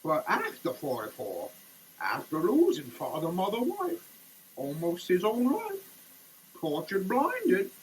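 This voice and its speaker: A woman giving a dramatic reading in a theatrical, halting, declamatory manner. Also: a little high, a little hiss or hum, rough recording.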